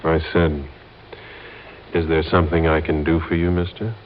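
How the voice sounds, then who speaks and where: An American actor playing a cocky, menacing gangster in a 1950s radio crime drama, slangy, smug and threatening. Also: male voice, rumbling low, level tone, moderate pace. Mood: smooth, sly. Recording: muffled old radio recording.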